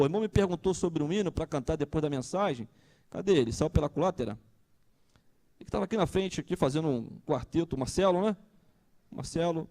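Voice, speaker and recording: A man's voice: sits high, darkish tone. A man, preaching a conversational sermon and asking the congregation questions. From Brazil. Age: 30s. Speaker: pastor.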